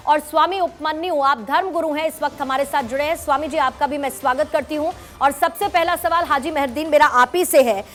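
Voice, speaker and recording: A woman's voice: high-pitched, a bit bright. A woman hosting a live TV news debate, insistent and pressing with her questions. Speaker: debate show host. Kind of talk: forceful live TV debate moderation.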